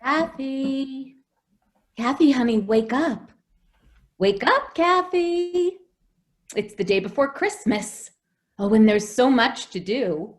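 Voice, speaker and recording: A gentle nanny in an old-time radio play, acted by a woman, coaxing and soothing in a fairly high voice that swoops up and down. She takes her time, fussing with forced cheer. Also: lively intonation, slow, pauses often. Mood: coaxing, bustling. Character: gentle, fussing nanny minding a little girl.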